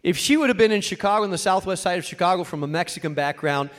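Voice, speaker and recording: A man preaching a sermon as a pastor, speaking in short, weighted phrases with dramatic pauses and emphatic repetition. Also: sits high, a little hiss or hum.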